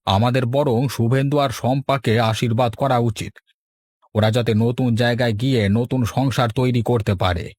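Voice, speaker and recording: A man's voice: medium pitch, steady tone, normal speed, darkish tone, no room sound, natural voice. Man voicing a kindly older village father, dry sound. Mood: resigned, fair-minded.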